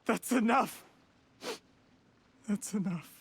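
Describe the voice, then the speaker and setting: A man's voice: high-pitched, slow pace, creaky, slightly rough, full character voice. A male actor playing an ambitious, falsely courteous young official in a video game, with a polished, formal, ingratiating manner. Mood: agitated. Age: young adult.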